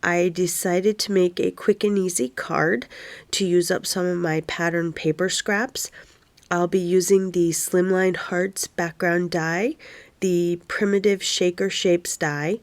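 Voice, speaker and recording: Stuffy-nosed woman talking thru a card craft tutorial, lowish voice, dry close mic. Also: no echo. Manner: calm step-by-step instructions. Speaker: card-making tutorial host. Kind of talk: voice-over craft tutorial narration.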